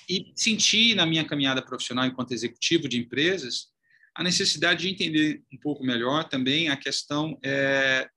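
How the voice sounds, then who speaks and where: Guy from salvador brazil, 40s, bright clear voice, chatty interview with lots of "é" fillers. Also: mid-range voice. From Brazil (Salvador, Bahia). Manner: articulate, many fillers. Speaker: guest, chamber of commerce president. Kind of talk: conversational interview.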